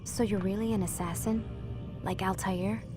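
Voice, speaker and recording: With music clearly behind, an actress performs a young female researcher in a video game. She is caring and level-headed. Her voice has an average pitch for a woman. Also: steady tone, normal speed, close to an everyday voice. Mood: curious, gentle. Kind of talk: video game cutscene acting.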